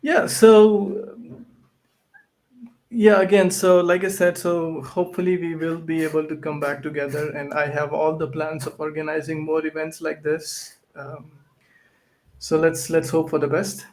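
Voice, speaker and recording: A man's voice: on the high side, little pitch movement, a little slow, darkish tone, poor audio. A man hosting a tech podcast, talking casually and often ending his points with "right?". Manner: tags sentences with "right?". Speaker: podcast host and event organizer.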